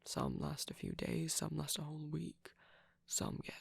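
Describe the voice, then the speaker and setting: A performer playing a shy, caring vampire boyfriend in an intimate ASMR roleplay, speaking softly and breathily with hesitant pauses. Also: mid-range voice, wildly swooping pitch, no echo, exaggerated voice. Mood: sympathetic, earnest. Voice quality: breathy, often near whisper. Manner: soft-spoken, breathy, halting with gentle pauses.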